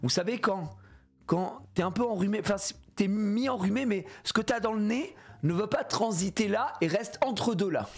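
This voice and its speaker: A man's voice: high voice, moderate pace. Sniffly male streamer, jokey and swearing. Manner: animated, jokey, swears a lot, reads chat aloud. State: congested with a cold. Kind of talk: live gameplay stream chatting with viewers.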